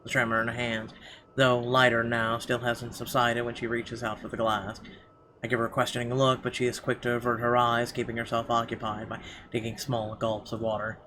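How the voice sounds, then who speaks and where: A man's voice: medium pitch, monotone, brisk, bright, forceful tone, rough recording. A man reading a visual novel's story aloud as a gameplay host. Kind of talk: reading game narration aloud. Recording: clear background noise, game ambience.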